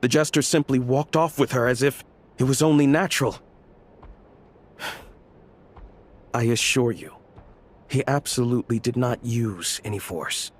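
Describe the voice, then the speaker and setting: A man's voice: medium pitch, a little slow, pauses often, natural voice. A man voicing an anxious, deferential underling in a video game, hesitant and apologetic as he speaks. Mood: nervous, apologetic. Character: anxious, deferential male underling making excuses. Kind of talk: video game cutscene acting.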